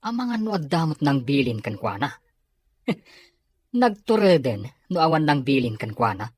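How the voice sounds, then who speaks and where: Animated, husky Ilocano office schemer, played by an actor. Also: male voice, medium pitch, moderate pace. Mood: irritated, scheming. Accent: Filipino, Ilocano. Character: suspicious, gossiping office co-worker, male. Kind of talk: Ilocano radio drama acting.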